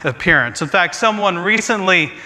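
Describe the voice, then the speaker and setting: A man's voice: high-pitched, expressive, moderate pace, bright, forceful tone. A man in his forties, a pastor, giving a joking, self-deprecating sermon with chatty asides. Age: 40s. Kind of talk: humorous sermon talk. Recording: long reverb in a large hall.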